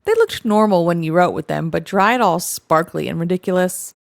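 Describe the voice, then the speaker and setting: A woman reading first-person confession stories aloud in an expressive, conversational storytelling manner. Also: on the low side, moderate pace, gravelly. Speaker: story reader, host of a show reading online confessions.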